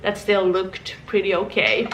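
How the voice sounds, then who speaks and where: A woman's voice: medium pitch, steady tone, a little slow, a bit bright, far from the mic, slight background noise. A young woman from Finland, chatting casually to her vlog viewers at home, rambling with small restarts and laughs. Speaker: vlogger. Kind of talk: casual chat. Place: home room. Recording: echoey room, voice from off-mic.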